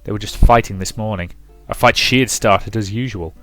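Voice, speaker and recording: A man's voice: fairly low pitch, fairly quick, a bit bright, faint music behind. A man commentating on and reading aloud from a visual novel as a let's play host.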